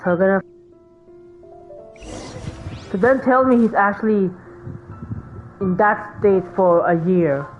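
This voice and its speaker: A woman's voice: medium pitch, normal speed. A woman giving casual let's-play commentary, musing aloud and reacting in short remarks. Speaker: game streamer. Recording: muffled lo-fi mic over game music.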